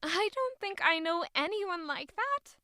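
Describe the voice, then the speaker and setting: A woman playing a coy young noble lady who is quick to deny things, in an audio drama. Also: extremely high pitch, animated, bright, forceful tone, a little hiss or hum, exaggerated voice. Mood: flustered, coy.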